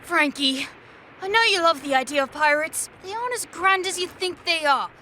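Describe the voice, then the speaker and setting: A woman's voice: very high voice, lively intonation, normal speed, pushed and bright, heavily acted. A woman playing a sensible, argumentative nine-year-old boy, an exasperated older brother, in an audio drama. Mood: earnest, warning. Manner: sighing, argumentative. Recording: faint background ambience noise.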